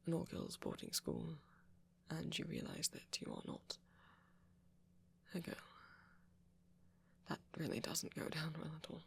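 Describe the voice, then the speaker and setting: A man playing a shy, apologetic young vampire in an ASMR roleplay, with a posh British accent and a hesitant, stammering, soft-spoken way of talking. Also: a little high, wildly swooping pitch, a little slow, creaky, pauses often, full character voice. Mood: uneasy, reticent. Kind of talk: intimate ASMR roleplay audio.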